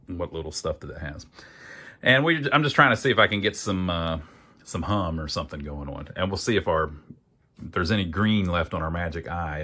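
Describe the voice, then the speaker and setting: A man with a fairly low voice narrates his amateur repair work as he goes. He thinks aloud in a casual, folksy way, with dry, wry asides. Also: sharp tone. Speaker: amateur radio repairer and video host.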